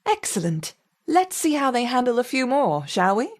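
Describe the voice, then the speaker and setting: Lively, commanding lead scientist. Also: female voice, fairly high pitch, normal speed. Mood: approving, cautious. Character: authoritative female lead scientist overseeing experiments. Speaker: research supervisor character. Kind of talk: video game character acting.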